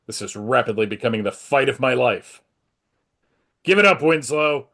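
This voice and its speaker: A man's voice: medium pitch, animated, moderate pace, creaky, fairly bright tone. A man playing an earnest, self-assured superhero in a live dramatic comic book reading. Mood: resolute. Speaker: performer in a dramatic comic book table read.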